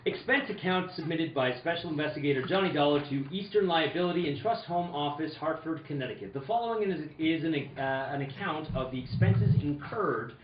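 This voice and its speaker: A man's voice: a little high, brisk, few pauses, close to an everyday voice. A man acting as a hard-boiled, earnest insurance investigator in an old-time radio detective play, with theatrical, slightly stumbling reading. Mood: wry narrating. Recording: roomy, dull, slightly noisy distant recording.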